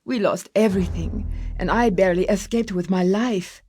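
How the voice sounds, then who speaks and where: A woman's voice: mid-range voice, normal speed, a little mellow, faint music behind, lightly acted. An actress playing a gracious, formal noblewoman in a video game, speaking politely. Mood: distressed, pleading. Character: gracious noble lady, a governor's wife, politely pleading. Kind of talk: scripted video game voice acting.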